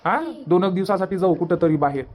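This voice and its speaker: A man's voice: sits high, quick pace, a little mellow, lightly acted. Casting: male actor as village husband and dad, cartoon comedy, Varhadi Marathi accent, argumentative yet placating, repetitive. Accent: Varhadi Marathi from Vidarbha. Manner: colloquial, repeats himself to make his point.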